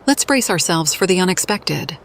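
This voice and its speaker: A woman's voice: on the low side, lively intonation, normal speed, slight background noise. A woman narrating a fantasy game playthrough in character, as the adventurer she plays. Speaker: gaming video narrator playing a character.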